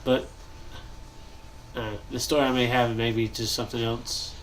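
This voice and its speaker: A young man with a stuffy, nasal voice, chatting casually and thinking aloud while he builds in a game, pausing often to cough or clear his throat. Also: mid-range voice, little pitch movement, a little hiss or hum, low-quality recording. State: congested, nasal. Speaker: gaming channel host.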